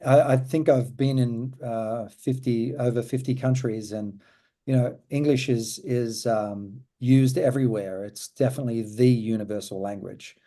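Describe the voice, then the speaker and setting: Dari Australia, pria bersuara lembut hangat. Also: male voice, mid-range voice, fairly even intonation, normal speed, dark tone. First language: German. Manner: thoughtful, repeats words as he gathers thoughts. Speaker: guest musician. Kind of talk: casual interview chat.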